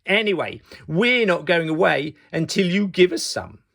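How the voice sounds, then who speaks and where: A man's voice: high-pitched, animated, normal speed, gravelly, fairly bright tone, no echo, clean studio sound, voice pushed up, heavily acted. A man voicing rude, selfish fairy-tale neighbours in a curt, dismissive, snappy way. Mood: nasty, demanding.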